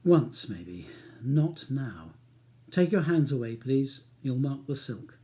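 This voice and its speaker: A man's voice: medium pitch, sing-song, slow, mellow. A man reading an audiobook, voicing a cold, scornful upper-class wife who speaks in a beautifully cutting way. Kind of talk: audiobook character dialogue.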